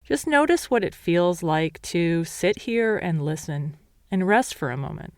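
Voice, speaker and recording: A woman meditation teacher leading a guided meditation in a calm, measured, instructional way. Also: fairly low pitch, no room sound, clean studio sound.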